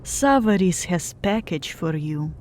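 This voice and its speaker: Woman playing a slow, flirtatious harpy queen. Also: fairly low pitch, lively intonation, a little mellow. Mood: purring, sly. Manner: purring, third-person broken English. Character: flirtatious, short-tempered harpy queen with dangerous edge. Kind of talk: fan audiobook character acting. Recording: background music under the voice.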